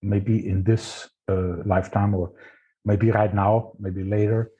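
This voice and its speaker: A man's voice: fairly low pitch, level tone, slow, mellow, breathy edge, dull audio. A man with an Austrian accent, speaking thoughtfully and searching for words in English. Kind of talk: casual spiritual conversation.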